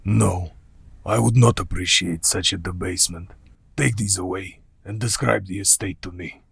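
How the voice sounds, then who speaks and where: An ancient vampire count for a radio play. The voice is low, very husky and unhurried, with courtly, formal menace. It sounds close and dry, with faint music at times. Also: male voice, no room sound, exaggerated voice. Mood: offended, commanding. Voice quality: gravelly, husky. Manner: slow, formal, deliberate.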